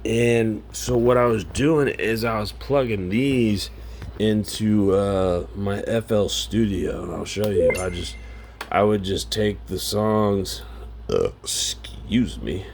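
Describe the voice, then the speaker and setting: A man in his forties, hoarse from singing, rambling in an unscripted vlog from his home computer setup. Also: on the low side, slight background noise. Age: 40s. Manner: rambling, loose, self-interrupting. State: raspy from singing. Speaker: vlogger. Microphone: home studio mic through audio interface.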